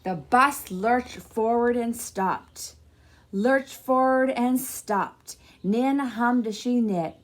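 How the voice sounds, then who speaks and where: A woman reading a children's picture book aloud as a storyteller, with deliberate, expressive phrasing. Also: a little high.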